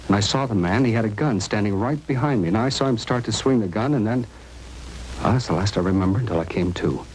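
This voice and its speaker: A man acts a shaken, indignant American doctor in a 1950s radio drama. The voice is low, with a halting, fussy, aggrieved delivery. The old recording carries slight noise. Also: a little mellow, close to an everyday voice, poor audio. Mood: uneasy recounting. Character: shaken, indignant male doctor, a robbery victim.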